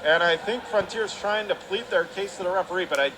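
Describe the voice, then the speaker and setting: A man's voice: high-pitched, fairly quick. A man calling live radio play-by-play of an ice hockey game from the arena. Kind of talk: live radio sports commentary. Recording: clear arena noise behind the voice.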